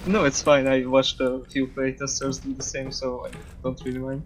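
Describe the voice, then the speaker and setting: Halting Hungarian-accented man, flat delivery. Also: mid-range voice, slight background noise. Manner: modest, halting, searches for words. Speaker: guest game developer. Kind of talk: casual chat.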